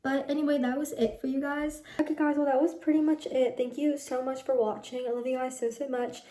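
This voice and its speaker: A woman's voice: high voice, little pitch movement, fairly quick, warm tone, reverberant. A young woman in her teens giving a casual skincare routine vlog, chatty and rambling, often correcting herself. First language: Telugu heritage speaker, English first language. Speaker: vlogger.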